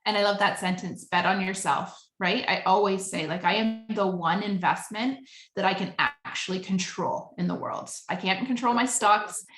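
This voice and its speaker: A woman hosts a casual interview with effusive enthusiasm. Her pitch is average for a woman. The recording is noticeably roomy, with an echo around her voice. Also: normal speed, few pauses, a bit bright, faint music behind. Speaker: podcast host, business coach. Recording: roomy, echoing voice pickup.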